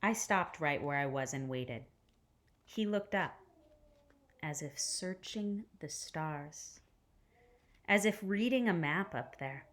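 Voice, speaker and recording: Low-pitched woman reading slowly to children. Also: animated. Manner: deliberate phrasing with pauses, dropping to hushed tones. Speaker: teacher reading a picture book aloud. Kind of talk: expressive read-aloud storytelling for children.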